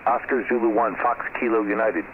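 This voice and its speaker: A low-voiced American man comes through a tinny shortwave radio with static hissing around him. He makes ham radio calls off the cuff, halting and polite, spelling out callsigns with deliberate care. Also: steady tone. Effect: shortwave radio transmission. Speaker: amateur radio operator. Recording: narrow tinny radio audio, loud static hiss.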